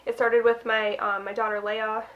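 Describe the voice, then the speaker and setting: A woman's voice: a little high, little pitch movement, moderate pace, lo-fi sound. A young woman with a stuffy nose from a cold, chatting casually to camera about her reading, with lots of little "um"s and laughs. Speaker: book vlogger.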